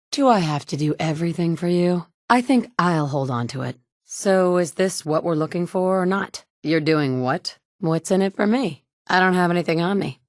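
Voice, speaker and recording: A woman's voice: fairly low pitch, creaky, dry recording, exaggerated voice. An actress in her thirties playing a tough, wry woman survivor in a video game, talking in a blunt, matter-of-fact way from a studio booth. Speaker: player character. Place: recording booth. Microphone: studio mic.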